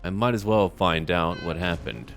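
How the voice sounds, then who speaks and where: A man hosting a roleplay gameplay video, recapping and musing aloud in a measured way as he plays. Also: deep voice, creaky, a bit bright, soft background music. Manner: measured, musing, talks to himself. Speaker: let's play host. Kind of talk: narrated roleplay gameplay commentary.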